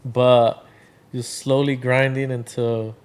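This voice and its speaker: Venezuelan guy in his 30s, laid back, lots of likes and ums, middle pitch. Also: slow, clean tone. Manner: relaxed, rambling, many fillers. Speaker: guest, drink brand founder. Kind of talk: casual interview chat.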